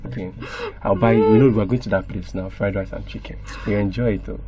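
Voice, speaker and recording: A man's voice: fairly low pitch, steady tone, quick pace, lo-fi sound, over a noisy crowd, lightly acted. An actor playing a menacing uncle who threatens and coaxes a young girl in a radio drama sketch. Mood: coaxing. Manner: threatening, coaxing, wheedling. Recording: noisy recording with clicks.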